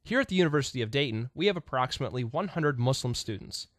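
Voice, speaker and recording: Male narrator, scripted documentary read, lively melody, dry close sound. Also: medium pitch, fast talker, no room sound. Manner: even, reading from a script.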